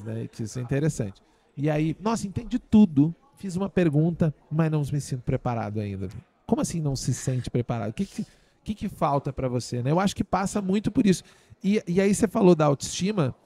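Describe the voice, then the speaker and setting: A man's voice: on the high side, expressive, a little fast, mellow. A man from Brazil hosting a podcast, chatty and jokey, asking long winding questions. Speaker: podcast host. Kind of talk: casual podcast interview. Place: studio.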